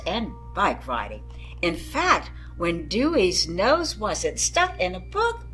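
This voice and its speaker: A woman reads a picture book to young children. Her voice is bright and swings widely in pitch. She takes her time, leaning on words for dramatic emphasis. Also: poor audio. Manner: animated, dramatic emphasis, addresses young listeners. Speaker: children's story-time reader. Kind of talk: expressive picture-book read-aloud.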